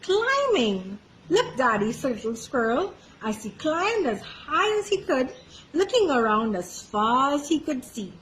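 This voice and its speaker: Lady doing library storytime, high sing-song swings, takes it slow, really expressive. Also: slight background noise, poor audio. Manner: expressive, animated. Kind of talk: children's storybook read-aloud.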